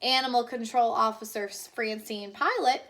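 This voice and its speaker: A woman's voice: on the high side, normal speed, a bit bright. A woman teacher reading a picture book aloud to children, adding chatty asides to the kids.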